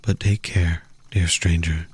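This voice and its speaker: A man reading a scripted promo in a slow, ominous, portentous manner. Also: low voice, fairly even intonation, darkish tone, husky. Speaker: promo announcer.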